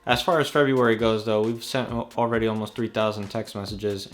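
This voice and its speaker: A man's voice: mid-range voice, fairly bright tone. A man hosting a vlog, explaining things casually and speaking directly to his viewers. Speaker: vlog host, real estate wholesaler. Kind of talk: explanatory vlog talk to camera. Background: background music.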